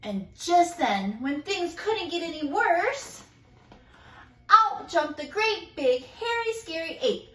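A woman's voice: extremely high pitch, very animated, slow, a bit bright, echoey room. A woman narrating a children's storybook expressively, with dramatic, emphatic phrasing. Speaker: storybook reader.

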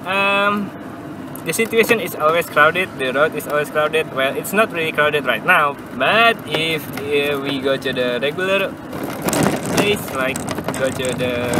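A young man with an Indonesian accent chatting casually for a vlog while driving, haltingly repeating and correcting his words. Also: a little high. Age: young adult. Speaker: vlogger. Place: inside a car with windows open, driving. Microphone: camera propped on the dashboard. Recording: clear car and traffic noise.

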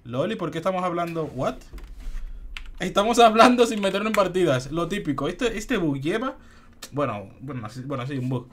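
A man's voice: a little high, lively intonation, normal speed, a bit bright. A young man from the Republic, chatting casually as he streams a shooter game, slipping English words in. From Dominican Republic. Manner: chatty, laid-back, mixes English words in. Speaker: gaming streamer. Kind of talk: casual gameplay commentary. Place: home room, indoors.

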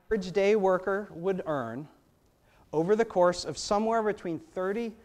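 An American pastor in his thirties preaches at a church pulpit, speaking into a gooseneck mic. His voice sits a little high for a man. He talks in a conversational way, tossing rhetorical questions at the congregation as he gestures. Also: normal speed, a little mellow. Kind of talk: sermon. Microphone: gooseneck pulpit microphone.

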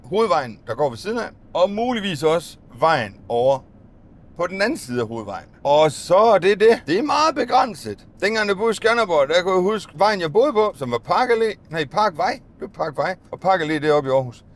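A man's voice: sits high, sing-song. Chatty man rambling from a minibus. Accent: Danish. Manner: rambling, chatty, musing aloud with restarts. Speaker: bus driver vlogger. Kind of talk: casual vlog monologue while driving. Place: inside a minibus, daytime. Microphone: phone or dashcam on the dashboard.

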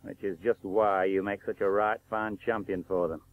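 Radio play: actor as bitter, blunt working-class veteran cricketer, sardonic and colloquial, tinny old broadcast sound. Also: male voice, on the low side, little pitch movement, normal speed, lightly acted. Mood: resigned, reproachful. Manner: plain-spoken, sardonic, colloquial. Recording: tinny narrow-band 1980s radio broadcast.

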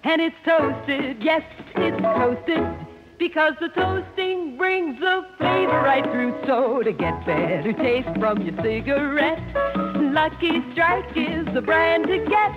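A woman's voice: high-pitched, expressive, slow. A woman singing a 1950s radio advertising jingle. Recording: dull vintage radio, loud music.

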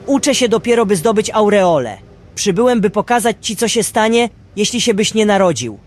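A man's voice: sits high, lively intonation, fairly quick, a little hiss or hum. A man playing an eager apprentice angel guide in an amateur radio play, theatrical and exclamatory. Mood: eager, solemn. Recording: music behind the voice.

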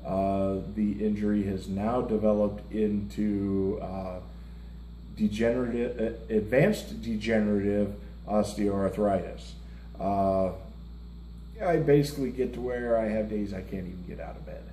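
A blunt, self-mocking middle-aged man chats casually across a table, his voice low and mellow. He is picked up by a far camera in a roomy indoor space with a faint hiss behind him. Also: fairly even intonation, a little slow. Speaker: co-host of a music reaction show. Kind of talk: casual chat. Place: indoor room, seated at a table before a lit curtain.

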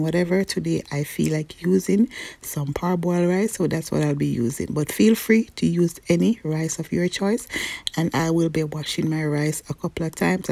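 Relaxed woman with a gravelly voice. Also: deep voice, dry recording. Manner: relaxed, chatty, talks viewers through each step. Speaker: cooking channel host. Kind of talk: cook-along voice-over narration. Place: home kitchen.

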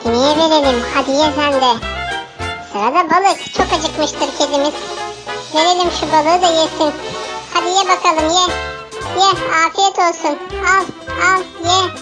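A very high, childlike voice hosts a game for little kids. The delivery is sing-song and coaxing, full of questions. Children's music plays clearly behind it. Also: fairly even intonation, measured pace. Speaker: host of a children's animal game video. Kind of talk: playful guided game commentary for small children.

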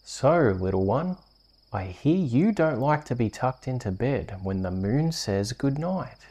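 An Australian man hosting and reading bedtime stories in a soft, soothing, unhurried ASMR storytelling manner. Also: mid-range voice, expressive. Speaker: host reading bedtime stories on a video channel.

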